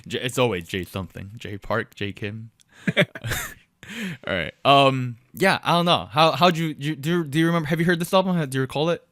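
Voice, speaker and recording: A man's voice: medium pitch, expressive, brisk, a bit bright, no echo, clean studio sound. Rambling man hosting a podcast. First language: Korean. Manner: rambling, many ums and restarts, laughs at himself. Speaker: podcast co-host. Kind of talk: casual music review chat.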